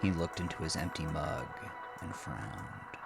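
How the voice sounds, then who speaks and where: A man reading scripted horror fiction as narrator, in a measured, solemn and deliberate manner. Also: a bass voice, vocal fry, over loud music.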